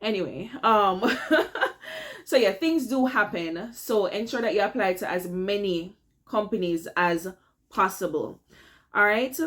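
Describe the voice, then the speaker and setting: A woman's voice: mid-range voice, sing-song, measured pace, a bit bright. A young woman with a Jamaican accent, chatting casually to her viewers while giving tips on landing online teaching work. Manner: chatty, addresses viewers as "guys", repeats "all right?". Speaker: vlogger giving career tips. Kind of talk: casual advice vlog to camera.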